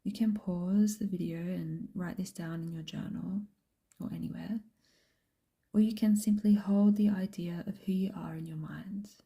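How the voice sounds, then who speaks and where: A woman guiding a meditation in a soft, breathy whisper, speaking gently and unhurriedly with long pauses. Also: fairly low pitch, steady tone. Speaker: meditation guide and host. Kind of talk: slow guided meditation, soft whispered speech.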